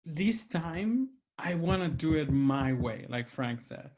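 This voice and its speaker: A man's voice: a little high, animated, slow pace, a little mellow. A man with a Mexican accent, hosting a conversation, speaking earnestly and searching for his words. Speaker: host. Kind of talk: reflective conversation.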